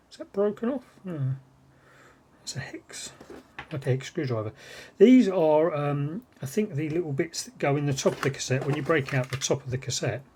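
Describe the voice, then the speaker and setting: A man's voice: on the high side, a little mellow, a little hiss or hum. A British man chatting casually and unscripted as he shows items on his vlog, rambling and musing aloud. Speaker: vlogger showing vintage cassette gear. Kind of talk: casual unscripted unboxing chat.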